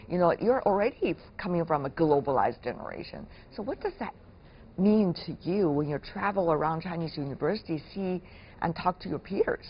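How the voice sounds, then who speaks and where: A woman hosting an interview, putting questions in a measured, deliberate conversational way. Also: lowish voice, animated. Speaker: interviewer, host. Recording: dull lo-fi sound with clear noise.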